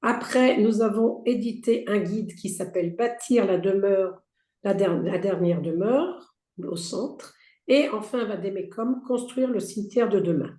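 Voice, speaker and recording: A woman with a hoarse, strained voice walks an audience through a slide presentation, listing points and stumbling into restarts. Her tone is dark and mellow, and the sound bounces around a roomy space. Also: medium pitch, unhurried. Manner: reads out slide points with frequent fillers and restarts. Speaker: presenter for a heritage federation. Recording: roomy echo.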